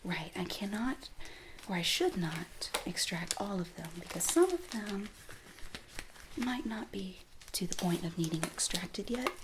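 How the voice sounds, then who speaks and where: A woman speaking in a soft, breathy near-whisper, gently reassuring as she plays a dermatologist in an ASMR roleplay, explaining each step. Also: fairly low pitch, noisy background. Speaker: ASMR performer playing a dermatologist.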